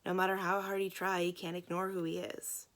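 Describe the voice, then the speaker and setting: A woman's voice: fairly low pitch, level tone, fairly quick, fairly bright tone, close to an everyday voice. A woman voicing a protective, plain-spoken grandmother in a children's novel, dry yet reassuring. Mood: gently firm.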